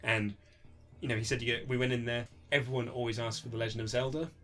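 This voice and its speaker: A British man being interviewed as an author, chatting casually in a rambling, animated way and often acting out quoted remarks. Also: fast talker. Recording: low-budget recording with music underneath.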